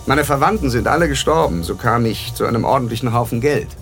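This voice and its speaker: A polished, boastful rich gentleman over music. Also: medium pitch, expressive, fast. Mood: boastful, wistful. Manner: polished, boastful, addresses listener with old-fashioned familiarity. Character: wealthy, self-mythologizing gentleman, courteous and secretive. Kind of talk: radio drama dialogue. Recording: studio radio play with music underneath.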